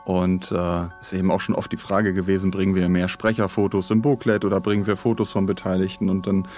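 A man's voice: deep voice, little pitch movement, fairly quick, a little mellow, faint music behind. A man co-hosting a podcast in casual chat, rambling along with dry humour in a studio. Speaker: podcast co-host. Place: recording studio.

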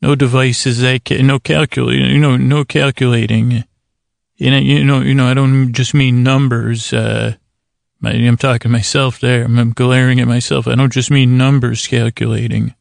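Male bedtime podcast host, gravelly and flat in pitch, meandering and stammering, soothing, closely dry recording. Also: normal speed, no echo. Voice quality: creaky. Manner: meandering, stammering, self-interrupting; lulling, soothing. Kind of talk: rambling bedtime monologue.

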